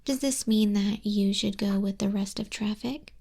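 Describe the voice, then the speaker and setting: A woman playing an exacting female griffin flying instructor in a hushed, breathy, measured ASMR roleplay, close to a binaural microphone. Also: mid-range voice. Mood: strict, measured. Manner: measured, unhurried, strict examiner tone. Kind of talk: soft-spoken, whispery ASMR roleplay.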